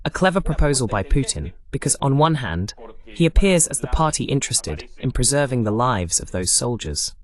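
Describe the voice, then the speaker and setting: A man's voice: mid-range voice, very animated, normal speed, few pauses. A man hosting a political analysis monologue, explaining emphatically with rhetorical questions and lists. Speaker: host and political commentator.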